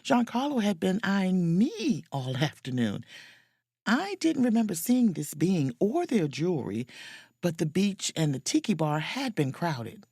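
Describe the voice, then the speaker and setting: A woman playing a wry, self-possessed spaceship officer, narrating her own adventure in an expressive, sardonic audio drama style. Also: on the low side, unhurried, slightly rough, no echo, full character voice. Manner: dry, sardonic, expressive storytelling.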